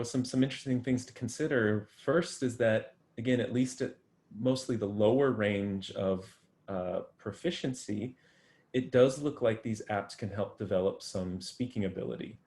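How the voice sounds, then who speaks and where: A man's voice: lowish voice, darkish tone. A man answering interview questions about his research, thoughtful and full of ums and restarts. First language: English. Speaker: guest researcher.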